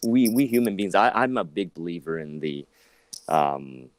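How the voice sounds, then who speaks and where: A man in his thirties, an actor, chatting as an interview guest, rambling with lots of restarts and sudden jumps in pitch. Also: lively intonation, normal speed, dry recording. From southern China. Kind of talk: casual interview talk.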